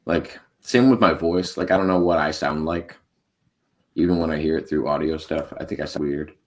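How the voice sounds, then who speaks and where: A man's voice: very deep voice, moderate pace. An American man co-hosting a podcast, chatting casually and rambling, often laughing. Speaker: podcast co-host. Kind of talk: casual chat.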